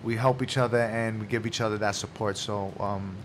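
Animated New guy chatting. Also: fairly low pitch, fairly quick, a little hiss or hum. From New York City. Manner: animated, loose street slang. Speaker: podcast co-host. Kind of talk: casual chat.

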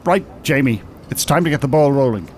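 An actor as a lively Irish con-artist, bossy and exacting. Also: male voice, mid-range voice, a little fast, faint music behind, natural voice. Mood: stern, impatient. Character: scheming professional male hypnotist con-artist, bossy, impatient. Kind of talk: audio drama acting.

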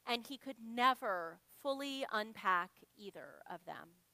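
A woman minister giving a reflective sermon, speaking in a measured, unhurried way. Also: on the high side, animated, creaky, pushed and bright, dry recording. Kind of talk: reflective sermon reading from congregants' writings.

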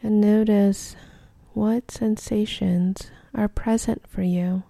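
A woman guides a meditation in a deeply relaxed, dark voice. She speaks slowly and gently, almost whispering, and leaves long pauses. The sound is close and dry, with no room around it. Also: gravelly, no echo.

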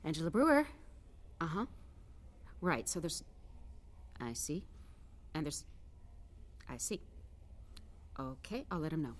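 An actress playing a brisk, dry, unflappable political aide in a satirical audio drama. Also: female voice, sits low, big pitch swings, background noise. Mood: dry, efficient.

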